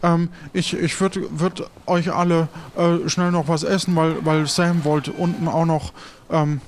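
A man's voice: a little high, level tone, unhurried, a little hiss or hum. A man playing a flustered, hospitable ship's cook in an improvised audio play, stammering and repeating himself. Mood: flustered, eager. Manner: stammering, repetitive.

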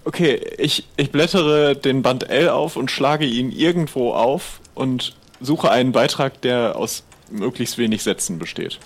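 A man's voice: mid-range voice, measured pace, few pauses. A man speaking casually and politely, a little tentative, as a player improvising in a role-play.

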